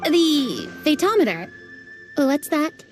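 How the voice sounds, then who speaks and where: Sweet, timid high-voiced game girl. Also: sing-song, slow pace, over music, heavily acted. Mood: surprised, touched. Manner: gentle, hesitant. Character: young female performer, sweet, timid, earnest newcomer. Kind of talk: animated video game character acting.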